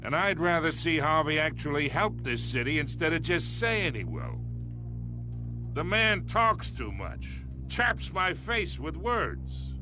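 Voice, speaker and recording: An actor playing a menacing middle-aged crime boss in a video game, talking folksy gangster slang with a threatening edge. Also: male voice, a little high, very animated, a little slow, music in the background. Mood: condescending, jovial. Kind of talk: video game dramatic acting.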